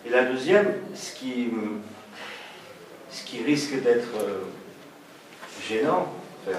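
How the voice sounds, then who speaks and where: A man at a public meeting, speaking in a halting, searching way with many restarts as he makes his point. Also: mid-range voice. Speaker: audience member in discussion. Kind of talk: hesitant conversational debate. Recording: echoey hall, mic far off, noisy.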